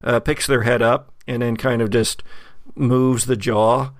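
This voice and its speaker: A man's voice: medium pitch, level tone, a little slow, no room sound, studio quality. A man acting as game master, improvising narration in a conversational, wry way.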